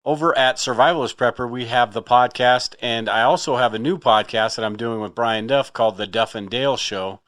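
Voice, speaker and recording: Mid-pitched male podcaster giving promo. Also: a little fast, few pauses, fairly bright tone. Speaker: podcaster and voice actor. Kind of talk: casual scripted cast promo.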